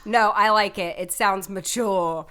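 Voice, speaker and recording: A woman in her twenties co-hosting a podcast, playful and giggly, with a slightly hoarse voice. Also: mid-range voice, unhurried, fairly bright tone. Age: 20s. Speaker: podcast co-host. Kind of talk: casual chat.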